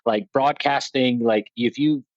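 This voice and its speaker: A man's voice: mid-range voice, a little slow, no room sound, highs cut off. A man being interviewed about his veterans program, speaking in a plainspoken, rambling, self-deprecating way with frequent restarts. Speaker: guest, veterans motorsport program leader. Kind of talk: conversational interview.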